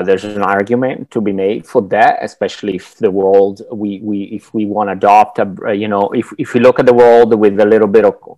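A man in his forties from Italy with an Italian accent, a film director giving reflective interview answers on a video call through wired earphones, thoughtful and halting as he searches for words. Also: on the low side, a little mellow, no echo. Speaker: film director, masterclass guest. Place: home room, indoors. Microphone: wired earphones with mic on a video call.

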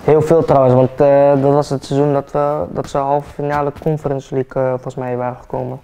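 An eighteen-year-old man, a football player, chatting relaxed and hesitant with many fillers while getting a haircut in a barbershop. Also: mid-range voice, brisk, slight background noise. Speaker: football player, interview guest. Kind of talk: casual interview chat. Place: barbershop, indoors, seated in barber chair.

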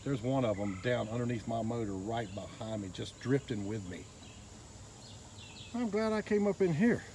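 A man filming from a small boat on a river outdoors, giving excited, quiet running commentary into his handheld phone. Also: medium pitch, normal speed. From Northeast Florida. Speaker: fishing vlogger. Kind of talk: casual narration while filming. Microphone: handheld phone camera. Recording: outdoor noise, insects behind.

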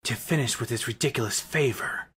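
A man voicing a brooding, aloof hedgehog anti-hero in a cartoon comic dub, terse, cold and deadpan, with gravel in the voice. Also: medium pitch, fairly quick. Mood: cold, curt. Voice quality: husky, gravelly. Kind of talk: fan comic dub acting.

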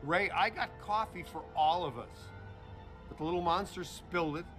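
An actor playing a wry middle-aged investigator in a video game, joking in a sardonic, deadpan way. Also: male voice, sits high, normal speed. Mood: dry, sarcastic. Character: wry, flippant middle-aged male paranormal investigator scientist. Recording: loud game music behind.

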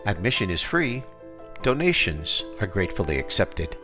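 A man's voice: low voice, big pitch swings, moderate pace, pushed and bright, soft background music. An older American man hosting an arts podcast, announcing and interviewing.

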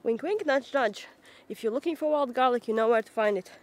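A woman's voice: on the high side, fairly quick, darkish tone. A woman in her thirties chatting casually in a vlog, giggling and thinking aloud as she goes. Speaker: homesteading vlogger. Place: woodland outdoors, then home kitchen. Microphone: camera mic, handheld close then fixed camera.

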